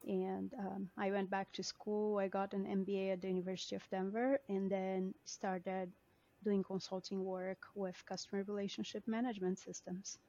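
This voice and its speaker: A Brazilian-born woman with as her answers an interviewer calmly. Her pitch stays level with little movement, and the audio is a bit dull and lo-fi. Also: moderate pace. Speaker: CRM consultant guest.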